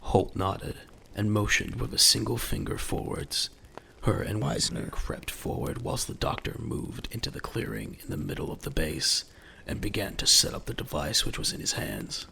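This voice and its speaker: A man's voice: on the low side, very animated, normal speed, gravelly, pushed and bright, slight background noise. A man narrating a scripted audio drama in a dramatic storytelling style.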